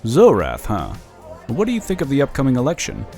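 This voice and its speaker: A man's voice: mid-range voice, wildly swooping pitch, a little fast, music in the background. An actor playing a middle-aged, glib political operative in an audio drama, with a persuasive, salesman-like delivery. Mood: persuasive, enthusiastic.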